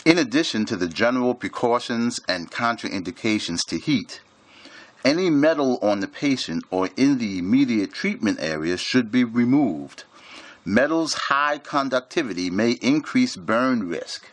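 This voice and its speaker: A man reading a scripted instructional lecture with formal, measured enunciation. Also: medium pitch, expressive, no echo.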